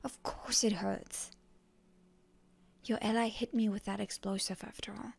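Woman playing a breathy, whispering, defiant hero girl. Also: medium pitch, steady tone, unhurried. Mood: prickly, stubborn. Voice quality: breathy, hushed, mostly whispered. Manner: defiant, suspicious, tsundere. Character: proud, guarded, prickly wounded hero girl held by her enemy. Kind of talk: whispered ASMR roleplay.

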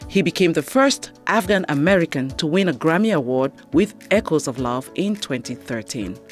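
A middle-aged woman hosting an interview in a radio studio, speaking on a boom mic and encouraging her guest with frequent affirmations. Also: lively intonation, normal speed, soft background music. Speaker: interview host. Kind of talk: casual interview talk.

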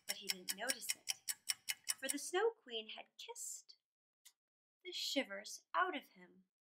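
A middle-aged woman reading a fairy tale aloud to children, expressively, with dramatic pauses. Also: high-pitched, bright, forceful tone, low-quality recording. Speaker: children's storytime reader. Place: small indoor room in front of a plain wall.

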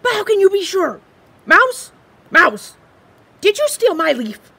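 Woman acting a shrill, frantic storybook squirrel. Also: big pitch swings, slow pace, sharp tone, exaggerated voice. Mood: panicked, accusing. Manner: exclamatory, melodramatic, accusing. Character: frantic, possessive squirrel, easily panicked, shrill and excitable. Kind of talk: children's storybook read-aloud.

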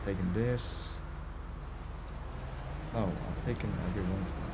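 Male game streamer, Norwegian accent, lowish voice, loose casual chat with some swearing, over clear vehicle noise. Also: fairly even intonation, measured pace. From Norway. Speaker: live streamer. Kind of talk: casual gaming livestream commentary, chatting with viewers. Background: game ambience with vehicle sounds.

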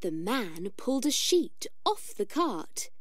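A woman narrates a children's story for young learners, phrasing each part carefully. She speaks very slowly in a fairly high voice with animated intonation, recorded close and dry. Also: dry recording. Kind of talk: scripted read-aloud narration for children.

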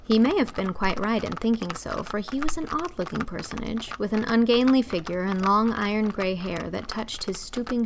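A woman reading scripted audiobook narration, expressive and carefully phrased. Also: mid-range voice, creaky.

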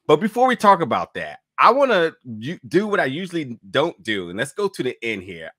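A man's voice: on the low side, fairly bright tone, no room sound, clean studio sound. A man in his thirties hosting an online interview channel, upbeat and speaking straight to his viewers. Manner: upbeat, addresses viewers as folks. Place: home gaming room.

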